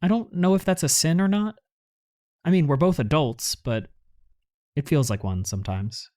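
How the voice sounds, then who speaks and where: A man's voice: on the high side, very animated, moderate pace, a little mellow. A man acting an anxious, guilt-ridden young university student making confession in a hesitant way, for an audio drama. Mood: guilty, hesitant. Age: young adult.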